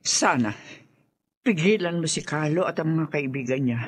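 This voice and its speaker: A woman's voice: low voice, expressive, moderate pace, husky. An actress playing a middle-aged grieving mother, firm and unforgiving, in a Filipino radio drama. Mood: firm, hurt.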